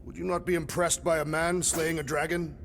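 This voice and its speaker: An actor playing an earnest, literal-minded alien warrior with formal, stilted diction in a video game. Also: male voice, fairly high pitch, little pitch movement, brisk, creaky, big-room sound, background noise. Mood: earnest, proud.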